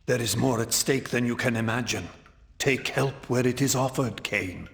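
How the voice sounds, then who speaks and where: An actor playing an ancient, solemn vampire elder in a fantasy game, speaking with measured, formal, portentous gravity. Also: male voice, medium pitch, creaky, fairly bright tone. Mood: commanding. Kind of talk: theatrical fantasy game cutscene acting.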